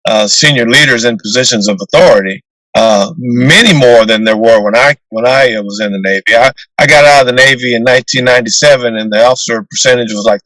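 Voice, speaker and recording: An older man with a deep voice speaks at a middle pitch. He answers in a measured, unhurried way, with the odd filler and restart. The sound is dry and close, like a video call. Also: a bit bright, dry recording, low-quality recording. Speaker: retired naval officer and author. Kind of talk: interview answers.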